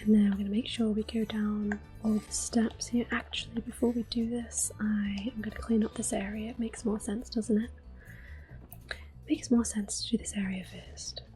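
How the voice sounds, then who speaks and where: A woman giving gentle, hushed, unhurried ASMR commentary on a video game, soft-spoken and often whispering. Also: medium pitch, level tone. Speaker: gameplay video host. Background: game music.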